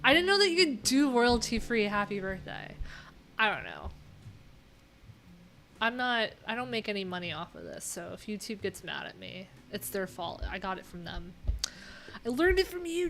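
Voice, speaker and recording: A woman's voice: medium pitch, very animated, normal speed, creaky, pauses often, fairly bright tone, a little hiss or hum. A woman in her thirties with an American accent, chatting casually as a livestream host in a rambling, wry, informal way. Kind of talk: casual livestream chat while reading docs. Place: small cluttered room indoors. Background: background music.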